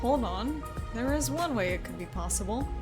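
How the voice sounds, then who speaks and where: A high-voiced woman who swings widely in pitch as she chats through a game with friends. She talks slowly, laughs a lot and yells out now and then, with faint music behind her. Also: lively intonation. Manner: laughs often, loud outbursts, swears. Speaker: streamer playing a game with friends. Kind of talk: casual group game commentary.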